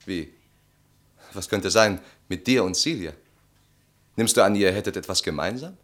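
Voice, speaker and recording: Tired reserved gentleman, low voice, dry sarcastic politeness, radio play. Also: moderate pace. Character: reserved, weary, sardonic gentleman wanting solitude. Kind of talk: German radio play acting.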